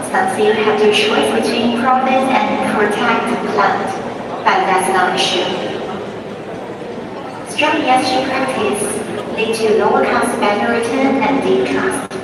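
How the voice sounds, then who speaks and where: A young woman, a pageant contestant, reciting a prepared speech with halting restarts and non-native phrasing. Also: a little high, steady tone. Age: young adult. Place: large indoor events hall. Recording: echoey hall from far off, crowd noise.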